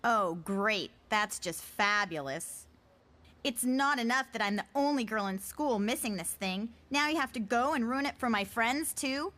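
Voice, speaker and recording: A high, swooping teenage girl voiced by a woman in a motion comic. She is sassy and dramatic, with a bright, exasperated edge to everything and some music behind. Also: unhurried. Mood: exasperated, sulky. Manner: exasperated, expressive. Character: sassy, dramatic fourteen-year-old girl. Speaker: voice actor.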